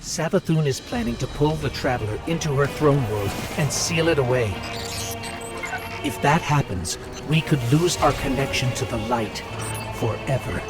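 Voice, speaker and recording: A man playing an earnest, steady robot companion in a video game, explaining things in a concerned way. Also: medium pitch, moderate pace, over loud music, close to an everyday voice. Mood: grave concern. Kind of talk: video game cutscene acting.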